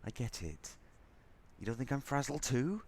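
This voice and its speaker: A man's voice: mid-range voice, sing-song, moderate pace, a little mellow, slight background noise. Man acting a sassy robot with wildly swooping voice. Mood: frazzled. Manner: sassy, dramatic, sardonic. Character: sassy, frazzled robot crew member, stubborn and theatrical. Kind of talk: audio drama acting.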